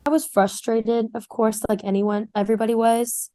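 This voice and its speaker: A woman's voice: a little high, little pitch movement, normal speed, dark tone, no room sound. A young woman, a student, chatting casually in an interview. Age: young adult.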